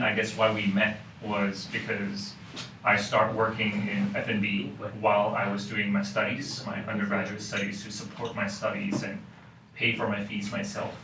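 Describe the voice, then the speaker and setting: Guy from hong kong chatting on a podcast, kinda monotone, lots of um and you know, echoey room. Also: lowish voice. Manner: thoughtful, many "um" and "you know" fillers. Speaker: guest, university lecturer. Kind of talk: casual podcast conversation. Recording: roomy, distant mic with faint noise.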